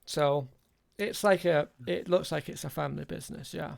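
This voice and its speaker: A man's voice: a little high, steady tone, normal speed, gravelly. A man improvising narration as game master of a tabletop game, hesitant and thinking aloud.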